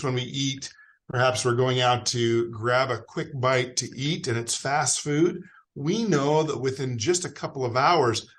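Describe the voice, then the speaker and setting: A man's voice: medium pitch, a bit bright. An American man answers interview questions over a video call. His voice sits in a middle range, and he explains in a friendly, rambling way with plenty of fillers. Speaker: guest expert.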